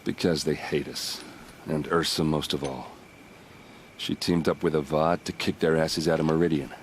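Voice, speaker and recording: An actor playing a gruff, self-deprecating soldier in a video game, blunt and wry, with a weary manner. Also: male voice, a bass voice, steady tone, moderate pace, slight background noise. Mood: bitter, vengeful. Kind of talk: dramatic video game acting.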